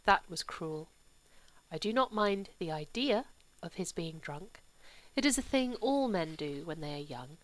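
A woman voicing a gentle, sorrowful aristocratic older mother who speaks softly and slowly. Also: lowish voice, lively intonation, dry recording, rough recording. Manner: soft, slow and hushed.

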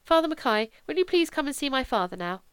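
A woman's voice: high-pitched, big pitch swings, fast talker, dry recording, voice pushed up. A woman voicing a resolute, composed young lady in an audiobook, formal and decisive. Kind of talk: audiobook character dialogue.

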